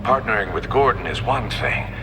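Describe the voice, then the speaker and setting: An actor playing a gloating criminal mastermind in a video game, theatrical and taunting, with drawn-out menace. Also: male voice, fairly low pitch, lively intonation, lightly acted. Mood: taunting, menacing. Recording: long reverb, noisy ambience behind voice.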